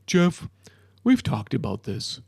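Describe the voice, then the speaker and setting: A man voicing a big, friendly amphibious creature from a storybook, with a low, cartoonish voice and a patient, deliberate, mildly chiding manner. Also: huge pitch swings, creaky, a little mellow, breathy edge, no room sound, clean studio sound, heavily acted. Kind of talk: storybook character voice.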